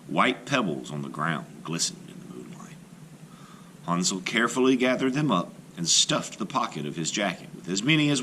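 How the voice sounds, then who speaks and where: Deep-voiced man reading a folktale aloud. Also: a little hiss or hum. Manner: expressive, animated storytelling. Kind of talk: storybook read-aloud.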